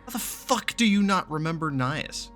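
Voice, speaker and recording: A man's voice: a little high, animated, moderate pace, fairly bright tone. A man giving casual, blunt, sweary commentary as he reacts to a video game. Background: game music faintly.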